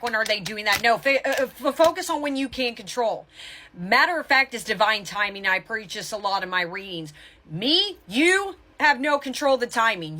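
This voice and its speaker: A woman's voice: on the high side, bright, forceful tone. A woman giving motivational spiritual advice as a tarot reader, in a blunt, emphatic tough-love manner with casual swearing.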